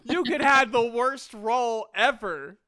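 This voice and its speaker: A man's voice: very high voice, takes it slowly, bright, forceful tone, clear, resonant tone. A man casually narrating and refereeing a tabletop game as game master, with a self-conscious, apologetic manner.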